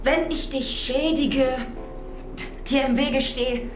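An actress playing an idealistic, anguished revolutionary wife, fervent and halting, sometimes sinking to a near-whisper in a theatrical drama. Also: female voice, high voice, steady tone, reverberant, music in the background. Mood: wounded. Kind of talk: theatrical German stage drama dialogue.

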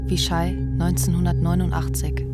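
A woman's voice: on the low side, monotone, normal speed. A woman announcing titles and scene settings in a scripted radio drama style. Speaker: series announcer. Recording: loud music behind the voice.

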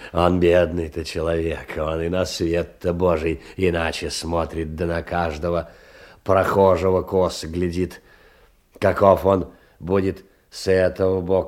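An actor playing a poor, wounded clerk in a theatrical audio play, rambling indignantly in halting colloquial speech full of rhetorical questions. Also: male voice, sits low, darkish tone. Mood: bitter, brooding. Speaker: stage actor.

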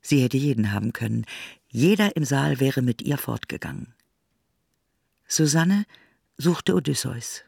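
A husky-voiced man narrates a literary radio play in measured, deliberate phrases. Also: mid-range voice, animated, darkish tone, no room sound, studio quality, heavily acted.

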